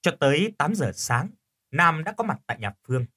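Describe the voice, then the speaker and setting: A man's voice: on the high side, sing-song, moderate pace, pushed and bright. A man narrating a scripted story as an audiobook reader.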